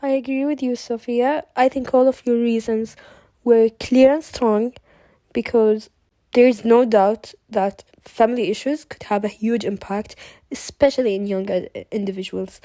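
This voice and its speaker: A woman's voice: on the high side, steady tone, normal speed, warm tone, no room sound. Young woman from Kuwait presenting a seminar. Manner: reads prepared remarks, small restarts. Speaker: student presenter.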